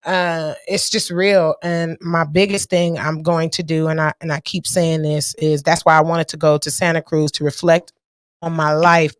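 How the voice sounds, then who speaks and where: A woman's voice: lowish voice, no room sound, clean studio sound. An American woman in her thirties chatting casually as a podcast host, candid, slangy and freely swearing. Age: 30s. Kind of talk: casual chat.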